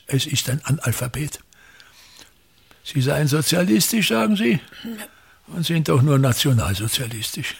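Bitter old theatre boss, slow husky declaiming, grandiose, radio play. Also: male voice, fairly high pitch, fairly bright tone, full character voice. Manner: declamatory, grandiose, emphatic repetition. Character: pompous, embittered old touring actor-manager, endlessly ranting.

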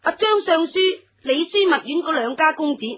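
A woman playing a gushing, persuasive matchmaker in a Cantonese radio drama, coaxing and deferential in a husky voice. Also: extremely high pitch, lively intonation, normal speed. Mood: flustered coaxing.

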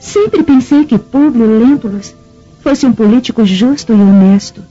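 A naive, lovesick young Roman daughter in a radio drama. Her voice is high and breathy with a very soft tone and a plaintive delivery, over faint music. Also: a little slow, muffled recording. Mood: surprised, questioning.

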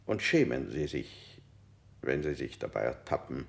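A gravelly, ingratiating interrogator. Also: male voice, rumbling low, big pitch swings, full character voice. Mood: falsely sympathetic. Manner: slow, ingratiating questioning. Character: gently probing male interrogator, insidiously sympathetic. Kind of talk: radio play acting.

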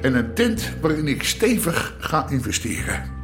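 Streetwise gangster boss, bullying and coarse, voice jumps around, fairly high. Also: male voice, big pitch swings, unhurried, music in the background. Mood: needling, menacing. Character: brash, scheming middle-aged male Amsterdam underworld boss. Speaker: nightclub and brothel boss. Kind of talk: naturalistic radio drama acting.

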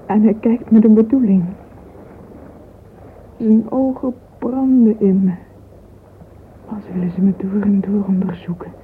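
A woman's voice: medium pitch, close to an everyday voice. An actress playing a dreamy, yearning, romantic young woman in a radio drama monologue. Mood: yearning, fascinated. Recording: thin phone-like old radio recording, noisy.

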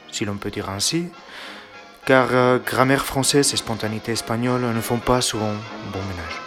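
A man's voice: mid-range voice, fairly bright tone. Man narrating, music behind. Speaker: narrator of a radio essay. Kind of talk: scripted essay narration on cinema.

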